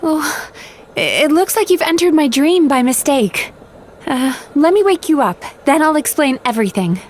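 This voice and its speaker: A woman voicing a gentle, polite yokai therapist in a video game, soothing, earnest and quick to apologize. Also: sits high, animated, slight background noise. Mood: flustered, apologetic. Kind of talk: scripted video game voice acting. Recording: game music under the voice. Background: background music.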